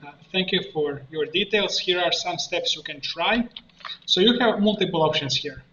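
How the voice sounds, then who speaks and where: Bright-voiced man in a roomy, muffled recording. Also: a little high. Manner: halting, step-by-step explaining. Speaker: software tutorial instructor. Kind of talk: unscripted screen-share tutorial narration. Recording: muffled roomy mic with faint noise.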